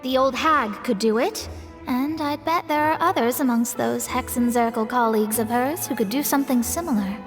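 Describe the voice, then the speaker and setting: A woman's voice: on the high side, moderate pace, in a large hall, music in the background, lightly acted. A woman voicing a proud, studious young astrologer in a video game, explaining things in a precise, self-important manner. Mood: serious, lecturing. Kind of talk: animated game dialogue acting.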